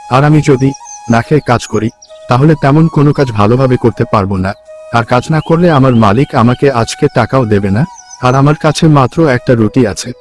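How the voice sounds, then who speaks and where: A man's voice: mid-range voice, natural voice. A man playing a poor, kind village labourer and husband in a cartoon folk tale, with an earnest manner.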